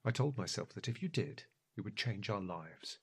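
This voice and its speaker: Earnest husband burdened by guilt, audio drama. Rough-edged husky tone, lively intonation, halting and emotional delivery. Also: male voice, on the low side, heavily acted. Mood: anguished, pleading. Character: earnest, guilt-ridden husband pleading with his injured wife.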